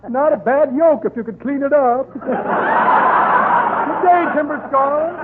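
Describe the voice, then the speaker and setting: Old-time radio comedy rogue: very high, animated voice, shifty chummy patter, muttered asides, thin vintage broadcast sound. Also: male voice, a little slow. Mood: breezy. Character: fast-talking shifty crook, chummy comic rogue rummaging his pockets. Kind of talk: 1930s radio comedy acting. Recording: scratchy, tinny 1930s radio broadcast.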